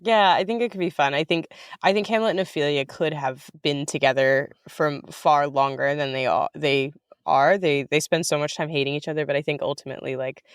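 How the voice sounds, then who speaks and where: A woman's voice: low voice, steady tone, a little fast, clean tone, no room sound, clean studio sound. An American woman chatting casually as an interview guest, enthusiastic and informal, talking in tumbling asides. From United States. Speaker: writer-director guest.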